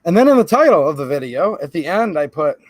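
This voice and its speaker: A man's voice: on the high side, very animated, fast talker, dry recording, low-quality recording. A man with a Michigan accent hosting a firearms channel, talking casually to camera in a chatty, sarcastic way and often calling his viewers "guys.". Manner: holds items up to the camera while talking.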